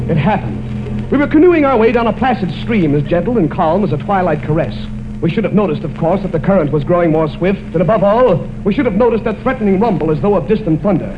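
A high-voiced man delivers vintage 1940s radio comedy with overblown romantic theatrics, lingering on repeated phrases. The recording is muffled and dated, with clear music behind him. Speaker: comedy radio show host.

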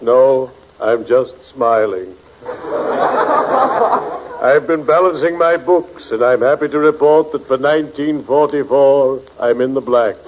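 An actor playing a genteel, ghoulishly cheerful undertaker in 1940s radio comedy, formal and solemnly jovial. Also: male voice, medium pitch, unhurried, lightly acted. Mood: solemnly pleased. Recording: muffled, faintly noisy 1940s radio recording.

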